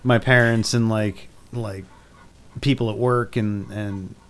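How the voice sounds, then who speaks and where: A man in his early forties, a podcast co-host, talking in casual chat in a halting, hesitant way full of restarts. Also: lowish voice, fairly even intonation, a little hiss or hum. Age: 40s.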